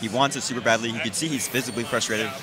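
A man's voice: medium pitch, steady tone, rapid-fire. A man giving live, conversational fighting game commentary, repeating phrases as he works out his thought. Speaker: esports commentator. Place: convention center esports event. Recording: busy event hall crowd behind.

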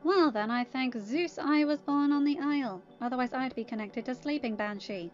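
A woman's voice: high-pitched, normal speed, muffled recording, faint music behind, voice pushed up, lightly acted. A woman voicing a wry, irreverent teenage girl in an amateur storybook reading. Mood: sardonic.

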